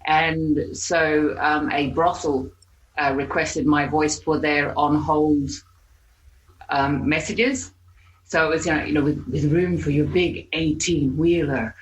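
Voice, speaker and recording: A woman from New Zealand speaks with a mixed New Zealand and Australian accent. Her voice sits on the low side, and she tells stories casually, laughing easily and using some fillers. Faint noise sits under her remote call from home. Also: steady tone, slow, echoey room, poor audio. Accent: New Zealand and Australian blend. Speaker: podcast guest, therapist. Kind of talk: casual interview chat. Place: home with pets nearby.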